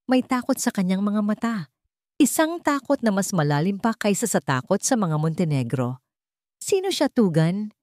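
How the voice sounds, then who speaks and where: A woman reading a dramatic scripted story with a measured, suspenseful delivery. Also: mid-range voice, lively intonation, darkish tone, dry recording, studio quality. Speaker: story narrator.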